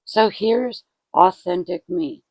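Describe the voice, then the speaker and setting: A woman's voice: mid-range voice, takes it slowly, darkish tone. A woman in her forties chatting casually to her vlog audience at home, self-mocking and swearing freely. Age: 40s. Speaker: vlogger.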